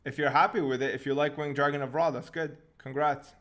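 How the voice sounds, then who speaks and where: A young man from Syria who grew up in Canada, hosting a casual live question-and-answer stream, relaxed and quick to laugh. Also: fairly high pitch. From Syria, raised in Canada. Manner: relaxed, laughs often, restarts words. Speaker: channel host answering live chat questions.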